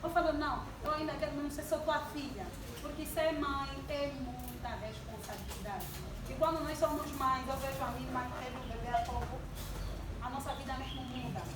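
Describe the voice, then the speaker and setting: A woman's voice: sits high, fairly even intonation, moderate pace. A woman in her twenties giving a sermon-style talk to a congregation, asking the audience questions and drawing responses. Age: 20s. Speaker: church youth speaker. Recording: distant mic in an echoey hall with background noise.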